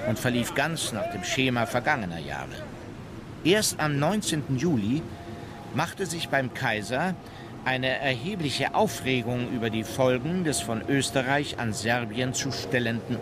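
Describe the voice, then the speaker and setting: An actor playing a sober naval officer who recalls a voyage in formal, measured radio-play narration. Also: male voice, medium pitch, big pitch swings, very noisy. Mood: composed, factual. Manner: formal, composed. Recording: music bed under the voice.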